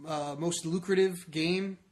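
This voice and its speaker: A man's voice: high voice, fairly even intonation, a little slow, darkish tone. A man co-hosting a sports podcast, talking soccer casually and often repeating and rephrasing himself as he explains.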